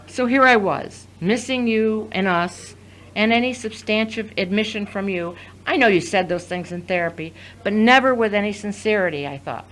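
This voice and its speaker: A woman's voice: mid-range voice, normal speed, fairly bright tone, natural voice, low-quality recording. Wounded, resolute wife played by a woman. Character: betrayed, wounded, resolute wife confronting her husband. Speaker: actor in an audio drama. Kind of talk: scripted audio drama acting.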